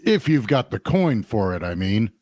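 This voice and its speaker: A man's voice: on the low side, big pitch swings, moderate pace, a little mellow, no room sound. Man playing a plain-spoken fantasy barkeep, dry sound. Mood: businesslike. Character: big, guarded male tavern barkeep in a lumber town. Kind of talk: audio drama table read.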